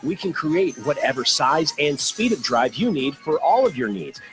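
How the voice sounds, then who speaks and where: A man's voice: on the high side, very animated, normal speed. A man reading scripted radio commercial narration as an announcer. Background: music bed.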